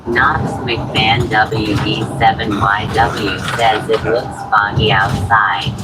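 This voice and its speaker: A lowish male voice reads viewer messages aloud one after another, announcing each username before the comment. The delivery stays flat and even, with clear road and engine noise running underneath. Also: takes it slowly. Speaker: chat message reader.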